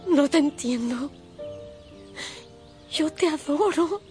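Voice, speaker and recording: A woman's voice: high voice, darkish tone. An actress playing an innocent, lovesick young village girl in a radio drama, plaintive and pleading. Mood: heartbroken, pleading. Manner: plaintive, drawn-out. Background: faint music.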